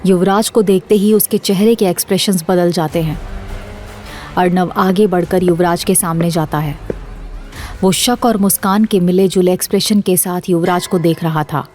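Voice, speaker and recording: A woman's voice: fairly low pitch, fairly even intonation, moderate pace, a little mellow, faint music behind. A woman narrating a scripted audio drama as the storyteller.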